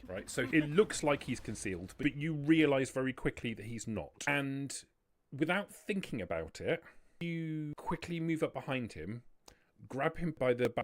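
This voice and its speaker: A man working as a game master, narrating a tabletop roleplaying game in a descriptive, dramatic way with deliberate pauses. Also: mid-range voice. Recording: noticeable background noise.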